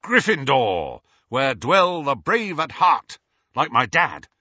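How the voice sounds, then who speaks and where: A man's voice: lowish voice, wildly swooping pitch, a little fast, a bit bright, slightly rough, no room sound, muffled recording, exaggerated voice. A man voicing a cocky, boastful young boy in an audiobook story, brash and confident.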